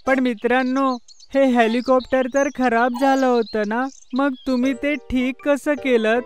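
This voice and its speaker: A woman's voice: sits high, steady tone, measured pace, a little hiss or hum. A woman playing a polite, apologetic young boy in a children's cartoon, speaking earnestly.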